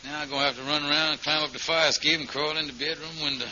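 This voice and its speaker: High-pitched blustering schemer, vintage radio. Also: male voice, steady tone, racing, pushed and bright, soft background music. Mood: grumbling. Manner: stammering, mangles big words. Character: henpecked middle-aged schemer, blustering and wheedling. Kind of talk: 1940s-50s radio sitcom acting. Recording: muffled, very noisy vintage radio recording.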